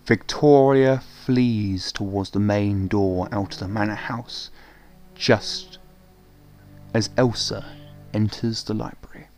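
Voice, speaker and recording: A man narrating a scripted horror radio play in a dramatic, measured way. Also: fairly low pitch, steady tone, darkish tone, soft background music.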